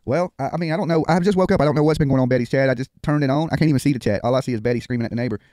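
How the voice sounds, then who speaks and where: Fast-talking middle-aged man, blunt and streetwise. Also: medium pitch, warm tone, dry recording, studio quality. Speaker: livestream host reacting to a live video. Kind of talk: casual live commentary. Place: indoor home studio. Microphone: headset.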